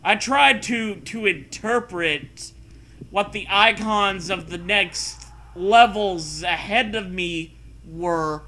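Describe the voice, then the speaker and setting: A man's voice: high-pitched, slow pace, sharp tone. A man doing a mocking dramatic reading with casual riffing, sarcastic, profane and prone to hammy emphasis. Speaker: comedy co-host reading fan fiction aloud.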